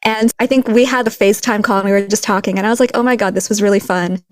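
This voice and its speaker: A woman raised in a Texas border town, co-hosting a podcast in a relaxed, reflective way with light laughs. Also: medium pitch, quick pace, no echo. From Philippines, raised in a Texas border town. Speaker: podcast co-host. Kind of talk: casual conversational podcast chat.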